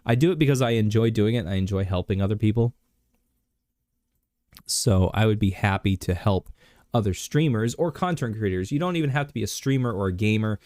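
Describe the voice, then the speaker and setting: A man streaming live and chatting casually while sick, clearing his throat, rambling with many fillers and restarts. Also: lowish voice, lively intonation, fairly quick, a little mellow, no room sound, clean studio sound.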